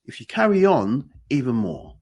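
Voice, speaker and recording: A man co-hosting a casual conversation with a croaky morning voice, rambling and emphatic, often repeating his phrases. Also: medium pitch, very animated, normal speed, a little mellow. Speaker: podcast co-host.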